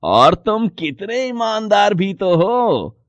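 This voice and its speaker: A man voicing a rich, lonely village landowner in a moral story, speaking in a deliberate, emphatic way. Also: high voice, very animated, no echo, muffled recording, pitched above the performer's own voice, exaggerated voice. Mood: grateful, remorseful. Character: rich lonely male landowner, miserly turned grateful. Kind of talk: acted moral story dialogue.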